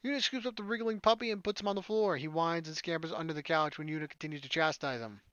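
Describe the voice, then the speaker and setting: A man's voice: on the high side, fast, sharp tone, smooth, no echo, dull audio. A man hosting a gaming video, reading a visual novel aloud expressively and dropping in casual asides.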